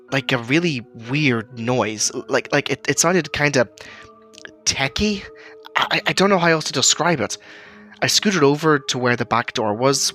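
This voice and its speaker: A man's voice: medium pitch, bright, forceful tone, close to an everyday voice. A man playing an earnest, uneasy storyteller who recalls an eerie childhood memory, stammering now and then. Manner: earnest, hesitant, stammering in places. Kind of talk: first-person spooky story narration.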